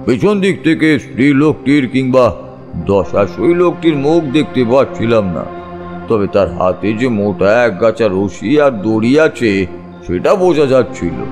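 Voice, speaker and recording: A man narrating a scripted first-person ghost story in a suspenseful, measured storytelling manner. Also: medium pitch. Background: background music.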